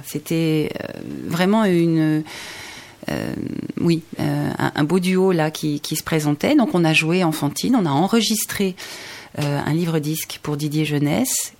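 Woman, forties, Indonesian native speaker, low voice, hesitant, many fillers, singer interviewed on radio. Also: darkish tone. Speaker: singer, guest.

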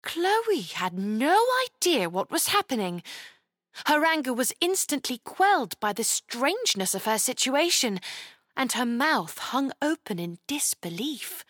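Female children's storyteller: animated intonation, slow, careful pacing. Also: high-pitched, dry recording, studio quality. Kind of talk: scripted children's story narration.

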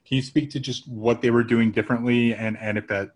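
A man's voice: mid-range voice, flat delivery, brisk. A man, a sports reporter asking a question at a press conference.

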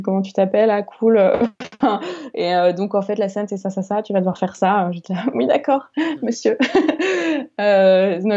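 Young woman, interview guest; halting, restart-prone delivery; warm low-energy timbre. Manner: hesitant, many fillers and restarts. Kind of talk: casual interview chat. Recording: dull lo-fi recording.

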